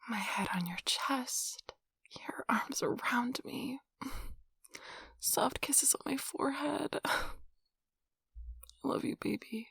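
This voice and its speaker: A woman playing an affectionate, playful, nerdy young girlfriend in an intimate, soft-spoken ASMR role-play, with a breathy, whispery voice and a tender, teasing manner. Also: on the high side, no room sound, studio quality, full character voice. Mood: sleepy, tender.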